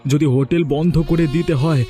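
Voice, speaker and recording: A man's voice: a little high, steady tone, brisk, dark tone, soft background music, natural voice. A man voicing an anxious grown son who helps run a village eatery in a cartoon, speaking in a worried, hesitant way. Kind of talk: cartoon story voice acting.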